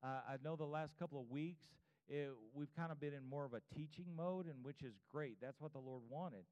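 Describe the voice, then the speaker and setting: A male preacher in his fifties delivers an earnest sermon to his congregation in a long-reverberating room. His voice is clear and resonant, and he exhorts listeners directly with repeated phrases. The recording is a little dull and muffled. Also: on the high side, fairly quick, a little mellow. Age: 50s (52). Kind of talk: evangelical church sermon with altar call. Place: church service before a congregation.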